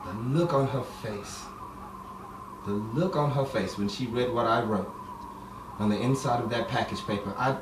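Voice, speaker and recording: A man playing a grieving, lovestruck man in a radio romance drama, speaking haltingly with dramatic pauses. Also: mid-range voice, natural voice. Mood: wistful, lovelorn. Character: grieving lovestruck man recalling his lost love. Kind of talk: old-time radio romance drama monologue. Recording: echoey distant room, noisy amateur recording.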